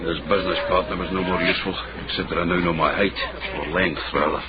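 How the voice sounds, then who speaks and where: A muffled radio drama recording with heavy noise carries an actor as a Scottish investigator of the 1790s. His voice is low, and he comes across as dry and shrewd. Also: steady tone, quick pace, natural voice. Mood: brisk, probing. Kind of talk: period radio drama acting.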